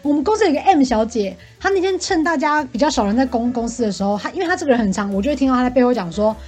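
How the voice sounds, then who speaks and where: A young woman with a Taiwanese accent chatting casually and comically as a podcast co-host, telling stories in an animated way and acting out quotes. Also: high voice, racing, slightly rough. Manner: animated storytelling with acted-out quotes. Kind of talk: casual comic chat, venting about coworkers. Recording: faint music behind. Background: faint background music.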